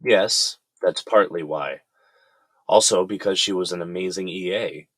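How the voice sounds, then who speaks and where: A man's voice: sits low, measured pace, breathy edge, no echo. A man playing a weary middle-aged defendant who explains himself in an earnest, conversational way in an audio drama. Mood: earnest, defensive.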